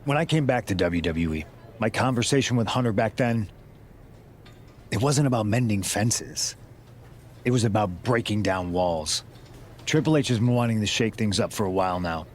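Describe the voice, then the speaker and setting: A man's voice: lowish voice, moderate pace, pauses often, slight background noise, natural voice. A man voicing a calculating wrestler who leads a rebel faction, firm and persuasive in video game cutscene dialogue. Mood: confiding, persuasive.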